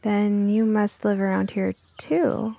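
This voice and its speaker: A woman as curious, earnest young girl, creaky-edged. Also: mid-range voice, level tone, takes it slowly, warm tone, no room sound. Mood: wary. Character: curious, science-minded young girl Hedy. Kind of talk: audiobook character dialogue.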